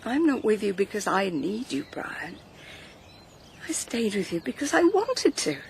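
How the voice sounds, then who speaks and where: A woman's voice: mid-range voice, sing-song, unhurried, a little hiss or hum. A woman playing a hurt, resolute older wife and matriarch with an English accent, earnest and persuasive in a naturalistic radio drama.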